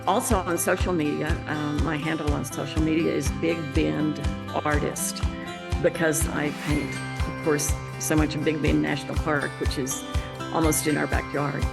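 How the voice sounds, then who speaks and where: A woman's voice: deep voice, flat delivery, moderate pace, creaky, over loud music. A middle-aged woman from West Texas with a West Texas accent, an artist speaking in an earnest, easygoing, reflective way in a gallery interview. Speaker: landscape painter. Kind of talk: personal interview monologue. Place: art gallery.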